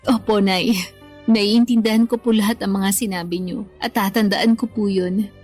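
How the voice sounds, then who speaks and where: A woman's voice: mid-range voice, fairly even intonation, normal speed, darkish tone, husky, faint music behind, lightly acted. An actress playing a respectful, repentant grown daughter and young mother with a Filipino accent in a radio drama, speaking earnestly and politely. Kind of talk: Tagalog radio drama acting.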